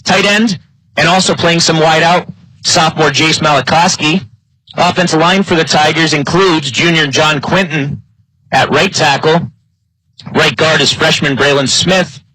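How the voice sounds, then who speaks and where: A man's voice: unhurried, pushed and bright, no echo, rough recording. An American man working as a play-by-play announcer, delivering live American football commentary. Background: live high school football game broadcast.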